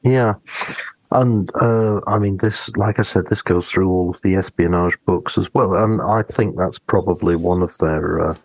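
A man's voice: sits low, a little slow, no echo, low-quality recording. A man co-hosting a podcast, chatting casually in a reflective, hesitant way and giving his opinions. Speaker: podcast co-host. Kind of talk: casual chat about books and drama.

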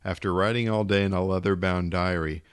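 A man's voice: sits low, fairly even intonation, fairly quick, clear, resonant tone, dry recording, clean studio sound. A man reading a literary short story aloud as scripted narration.

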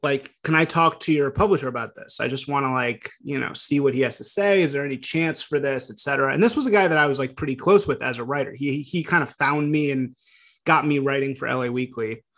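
A man from the Midwest hosts a relaxed interview, with a voice that sits mid-range in pitch. He rambles, restarts sentences and gets animated as ideas come to him. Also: quick pace. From Chicago, US Midwest. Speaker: podcast host. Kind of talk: casual conversational interview.

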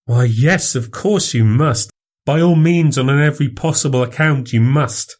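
A man voicing a smooth, cynical medical man who coaxes and mocks a younger accomplice in a storybook reading. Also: mid-range voice, a little slow, a little mellow, pitched above the performer's own voice, natural voice. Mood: coaxing. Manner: coaxing, persuasive, mocking.

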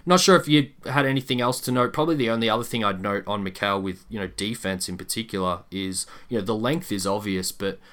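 Low-voiced man analysing sports on a podcast. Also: quick pace, few pauses, fairly bright tone. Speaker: podcast co-host.

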